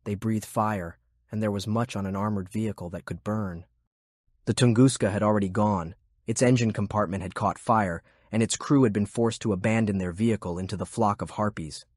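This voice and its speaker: Low husky man narrating an audiobook. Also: steady tone, brisk, darkish tone, dry recording, studio quality. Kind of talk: scripted story narration.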